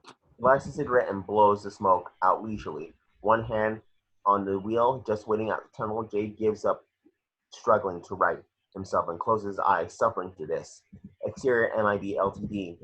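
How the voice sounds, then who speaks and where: A dull, lo-fi recording of a man with a lowish, slightly husky voice. He narrates action lines from a screenplay, halting now and then as he reads. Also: fairly bright tone. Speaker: reader of action descriptions. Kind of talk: screenplay narration at a table read. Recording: muffled lo-fi home recording.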